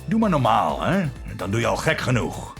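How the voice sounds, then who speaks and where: An actor voicing a brilliant, tormented scientist in a video game, with a Dutch accent and a measured, authoritative manner that shifts between gentle and intense. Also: male voice, mid-range voice, wildly swooping pitch, creaky, soft background music, heavily acted. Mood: wry. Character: Sigma, brilliant tormented scientist, kindly authoritative, unstable, given to bad jokes.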